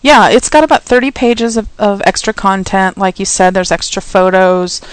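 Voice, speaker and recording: A woman's voice: mid-range voice, steady tone, moderate pace, clean tone, no echo, low-quality recording. A woman co-hosting a casual chat show, often hesitating and restarting her sentences. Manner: hesitant, many restarts and ums. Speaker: podcast co-host.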